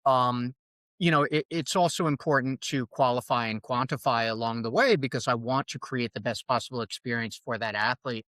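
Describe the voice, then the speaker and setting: A man with a New York accent speaks as a podcast guest, mid-pitched and conversational. He thinks aloud and often restarts his sentences. The sound is close and dry, with no room around it. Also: normal speed, no echo. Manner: thoughtful, restarts often, animated. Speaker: podcast guest, fitness coach. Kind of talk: casual conversational interview.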